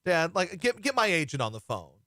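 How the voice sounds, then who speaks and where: A man's voice: fairly high pitch, big pitch swings, brisk, pushed and bright, dry recording, clean studio sound. A man voicing his pet dog as a fussy, indignant complainer who keeps demanding things from his owner. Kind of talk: comic bit on a talk show.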